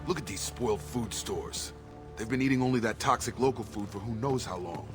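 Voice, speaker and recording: Actor as troubled soldier guy, lowish voice, serious, game dialogue with music going behind. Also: a little fast, natural voice. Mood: angry, disbelieving. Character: serious, troubled male soldier seeking answers. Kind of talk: video game voice acting.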